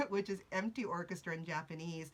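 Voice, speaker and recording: A woman's voice: fairly low pitch, moderate pace. A woman in her forties hosting a podcast, chatting casually, laughing often and wandering off into tangents. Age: 40s. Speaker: podcast host. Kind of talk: casual chat. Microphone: podcast mic, video recorded.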